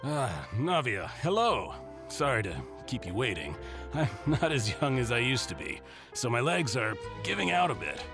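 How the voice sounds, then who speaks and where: An actor playing a kindly, courteous elderly gentleman in a video game, speaking in a polite, measured, genteel way. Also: medium pitch, very animated. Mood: genial, courteous. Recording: music under the voice.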